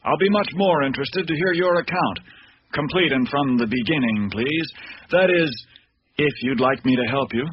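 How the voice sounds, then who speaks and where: A man acts out a wry old ex-police captain in a radio play, pitched a little high. He teases drily and takes his time. The recording is dull, with clear noise behind him. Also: close to an everyday voice. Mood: genial, teasing. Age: older. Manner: dry, teasing, unhurried. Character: wry, sharp-witted old retired police captain, playfully evasive. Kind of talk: radio drama acting.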